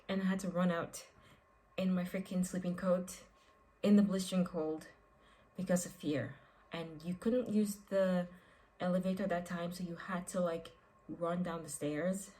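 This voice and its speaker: A woman's voice: lowish voice, poor audio. A young woman from Nigeria talking casually and expressively to camera for her vlog, with lots of "like" and "um" fillers. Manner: chatty and expressive, with frequent "like" and "um" fillers. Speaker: vlogger.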